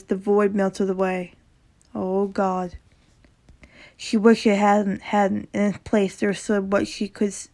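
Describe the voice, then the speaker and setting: A woman with a sore throat reads a horror story in a casual way, her voice gravelly and slightly raspy. Her delivery stays fairly flat and even. She often trips over words, corrects herself and adds quick casual reactions between passages. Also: mid-range voice. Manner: stumbles and corrects herself while reading.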